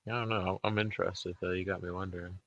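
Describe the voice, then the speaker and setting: A man's voice: low-pitched, level tone, fast talker, fairly bright tone, no echo, highs cut off. A man co-hosting a stream in offhand, sweary casual chat. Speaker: stream co-host.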